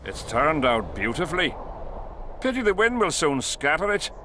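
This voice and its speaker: A man's voice: on the high side, sing-song, normal speed, background noise. An actor playing a crude, arrogant king in a video game, blunt, scornful and commanding. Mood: sardonic. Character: crude, arrogant, imperious male warrior king.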